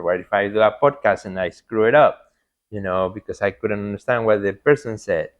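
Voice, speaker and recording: A man with a Mexican Spanish accent and a somewhat low voice, speaking English as a second language. He talks earnestly in a relaxed interview, circling back on his words with frequent "you know"s. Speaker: podcast guest, artist coach. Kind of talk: casual interview talk.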